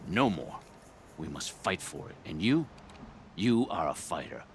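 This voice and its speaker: Grave male warrior-mystic for a game cutscene: very low, unhurried, intense swings, deliberate delivery. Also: big pitch swings, a little hiss or hum, full character voice. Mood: solemn, fervent. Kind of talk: video game cutscene acting.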